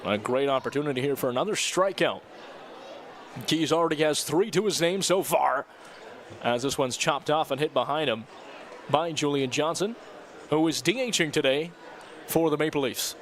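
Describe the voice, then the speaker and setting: A man's voice: fairly high pitch, expressive, normal speed, pauses often, some chatter behind. A young man doing live, upbeat baseball play-by-play at an outdoor ballpark. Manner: upbeat, chatty, rises sharply on strikeouts. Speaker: play-by-play broadcaster.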